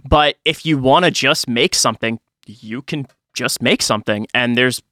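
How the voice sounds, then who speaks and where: A man co-hosting a podcast, talking casually in an animated, slangy way. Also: mid-range voice, moderate pace, dry recording, clean studio sound. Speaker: podcast co-host.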